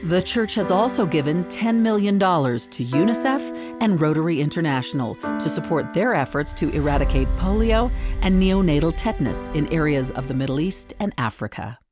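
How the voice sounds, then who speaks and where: A woman narrating a news report in a measured, scripted delivery. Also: sits low, expressive. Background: music bed.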